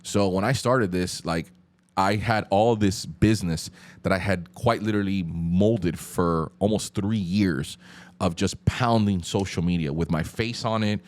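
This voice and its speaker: Miami guy in his 30s, cuban-ish accent, real low voice, chill slangy podcast talk. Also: measured pace, darkish tone. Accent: Miami Cuban-influenced English. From Miami, USA. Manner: loose, slangy, lots of fillers. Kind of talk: casual podcast chat.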